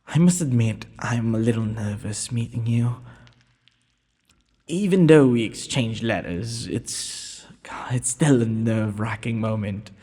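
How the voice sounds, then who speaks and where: A man playing a gentle, courteous young prince in a romantic audio roleplay, speaking formally and a little hesitantly. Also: mid-range voice, measured pace. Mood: nervous. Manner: formal, polite, breathy speech with hesitations. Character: gentle, courteous young underground prince, nervous, earnest suitor. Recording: long hall-like reverb.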